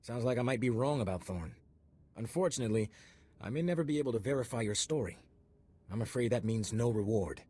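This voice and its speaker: Game casting: pushy government investigator, husky, cynical, with lively pitch swings. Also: male voice, fairly quick. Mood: stern, resigned. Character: cynical, officious male customs agent, pressing interrogator. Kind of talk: video game voice acting.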